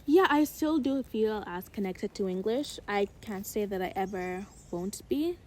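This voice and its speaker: A woman's voice: mid-range voice, expressive, measured pace, a little mellow. A young woman, in her teens, with an American accent, reflective and casual, co-hosting a podcast chat with many filler likes. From Uganda. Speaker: podcast co-host.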